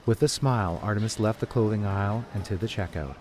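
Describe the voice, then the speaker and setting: Low-voiced male story narrator. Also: fast, a little mellow, slight background noise.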